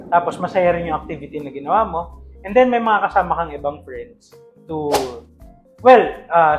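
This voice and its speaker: A man's voice: on the high side, lo-fi sound. A man with a Filipino accent telling stories casually as a podcast co-host, mixing in English.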